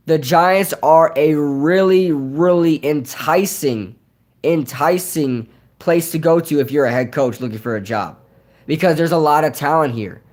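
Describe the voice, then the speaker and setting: A young man whose voice is nearly shot from yelling, rambling casually through a live Q&A with lots of fillers and repeated words. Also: on the high side. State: hoarse, voice nearly gone from yelling. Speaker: streamer hosting a live Q&A. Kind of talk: casual live-stream chat reading comments.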